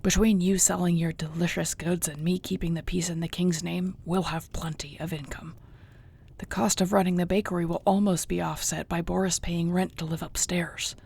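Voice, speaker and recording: A husky, gravelly orc warrior girlfriend acted by a woman, low in pitch. She is gentle and content, talking softly and unhurriedly to her beloved in an intimate audio roleplay, often close to a whisper. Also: level tone. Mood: warm, hopeful. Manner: soft, tender, unhurried, often near a whisper.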